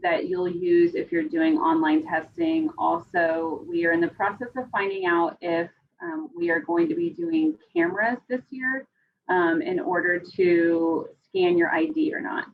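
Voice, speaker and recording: A woman on the school staff explaining testing procedures in an interview, with frequent pauses and "um"s. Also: on the low side, sing-song, gravelly, phone-quality sound, a little hiss or hum. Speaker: school test coordinator.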